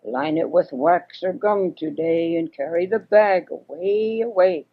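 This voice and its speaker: A woman's voice: on the low side, a little slow. An older woman voicing little storybook animals that chant rhyming advice in a singsong way. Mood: advising. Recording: dull homemade 1980s cassette recording.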